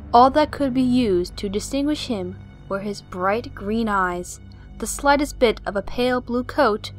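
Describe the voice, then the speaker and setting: A woman's voice: on the high side, poor audio. A woman reading scripted story narration as the narrator of an audio play.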